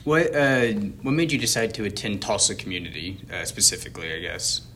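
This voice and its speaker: Bright-voiced man, relaxed interviewer. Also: fairly low pitch, a little fast, a little hiss or hum. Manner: relaxed, many ums and laughs. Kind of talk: casual interview.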